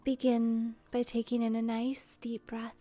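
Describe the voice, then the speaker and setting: A woman leading a guided meditation in a soft, breathy, soothing voice that often trails into a near-whisper, deliberate and gentle with pauses. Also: fairly high pitch, completely flat delivery. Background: soft music.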